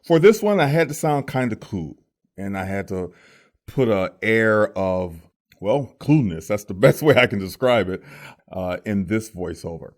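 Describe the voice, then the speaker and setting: A man with a lowish voice gives a tutorial as a working voice actor. His delivery is casual but scripted, explaining things point by point. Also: animated, measured pace.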